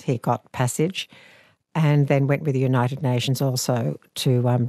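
A woman in her sixties with an Australian accent, an actor recalling her family history in a measured, reflective way. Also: a bass voice, no echo, studio quality. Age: 60s. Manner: thoughtful, measured, gentle. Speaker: actor and author guest. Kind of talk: reflective interview storytelling. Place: living room, seated on a sofa.

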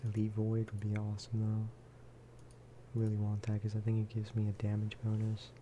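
A man speaking softly in a low-key, rambling ASMR style as he chats over gameplay. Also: monotone, moderate pace, slight background noise. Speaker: gaming video creator. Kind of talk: soft-spoken ASMR gameplay chat.

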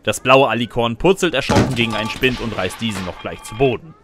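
A man's voice: medium pitch, fast, over loud music, natural voice. A man playing a sarcastic student who narrates his own chaotic life in scripted comic first person. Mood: wry narrating. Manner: wry, sarcastic. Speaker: narrator and lead character.

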